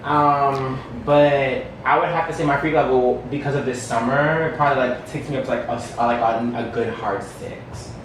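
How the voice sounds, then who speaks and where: A man's voice: mid-range voice, moderate pace, few pauses. Sassy man in his twenties, echoey room. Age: 20s. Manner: sassy, lively interjections. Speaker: podcast panelist. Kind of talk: casual chat. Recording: echoey room, mic far off, noisy.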